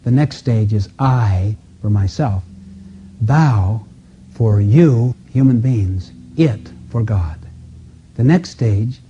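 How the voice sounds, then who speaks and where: A man giving a motivational self-help lecture in an emphatic, deliberate manner, as a lecturer on personal effectiveness. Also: fairly low pitch, animated, mellow, a little hiss or hum. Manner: emphatic, deliberate, with rhetorical questions and repeated phrasing. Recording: muffled, dull lo-fi audio.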